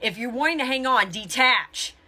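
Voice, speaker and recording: Bright, high-voiced woman, blunt and emphatic. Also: animated, moderate pace. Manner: blunt, emphatic tough-love delivery, swears casually. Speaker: tarot reader and spiritual coach. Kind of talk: motivational spiritual advice talk to viewers.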